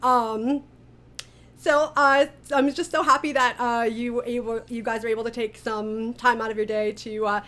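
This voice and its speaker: A woman's voice: on the high side, fairly even intonation, normal speed, fairly bright tone. A woman hosting a casual interview as an audio drama director, informal, appreciative and encouraging toward her guests.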